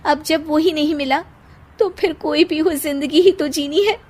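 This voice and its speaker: Heartbroken young bride, soft and resigned, higher voice from a woman narrator. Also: normal speed, close to an everyday voice.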